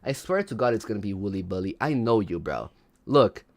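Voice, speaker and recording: Man, young adult, livestream host, animated, very lively pitch. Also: brisk. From Brazil. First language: Portuguese. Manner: animated, slangy, chats with viewers. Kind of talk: casual livestream commentary.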